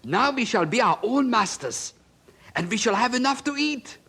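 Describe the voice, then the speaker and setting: A man's voice: very high-pitched, animated, normal speed, pushed and bright, breathy edge, full character voice. A man acting in a radio drama as a hardworking, poor miner father, earnest, hopeful and emphatic in his delivery. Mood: jovial, excited.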